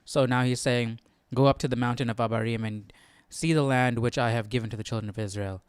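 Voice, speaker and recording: A man with a clear, smooth, mid-pitched voice casually works through a text as a livestream host. He thinks aloud, in a close, dry recording. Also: fairly even intonation, brisk, dry recording. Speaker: Bible study livestream host. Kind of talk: casual read-along commentary.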